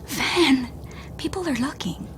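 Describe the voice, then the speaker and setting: A woman playing a shy, anxious young honeymooning wife in an audio drama, fretting in a hushed, breathy, naturalistic way. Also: high voice, animated, slow, a little hiss or hum, exaggerated voice. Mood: embarrassed, nervous.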